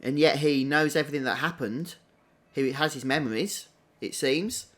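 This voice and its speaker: A man's voice: fairly high pitch, moderate pace, fairly bright tone. A man giving casual live stream commentary as a game streamer. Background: game music behind the voice.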